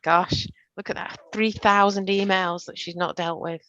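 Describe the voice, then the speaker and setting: A woman's voice: on the low side, steady tone, moderate pace, pushed and bright, muffled recording. A middle-aged woman from the north of England with a northern English accent, chatting and joking as a trainer on a video call through a headset. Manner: chatty, self-deprecating, joking. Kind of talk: casual presentation on a video call.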